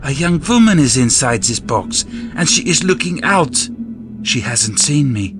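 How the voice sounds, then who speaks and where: An actor playing a devout, bewildered soldier praying aloud in an audio drama, halting and full of awe. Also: male voice, mid-range voice, sing-song, music in the background. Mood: awed, pleading. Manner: slow, halting, awed.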